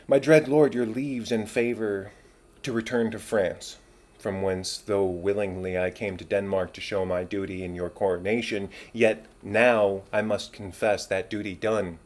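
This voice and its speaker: A man's voice: lowish voice, fairly even intonation, a little slow, darkish tone, lightly acted. Man acting an earnest, deferential young courtier. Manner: formal, deferential. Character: respectful, earnest young male courtier petitioning his king. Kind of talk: dramatic Shakespeare reading.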